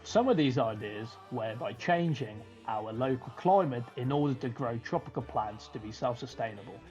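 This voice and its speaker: A man's voice: medium pitch, animated, moderate pace, darkish tone, lo-fi sound. A man from Slovakia hosting an informal explainer in an emphatic, colloquial way, often asking rhetorical questions. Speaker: video host. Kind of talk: informal explainer narration to camera. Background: background music.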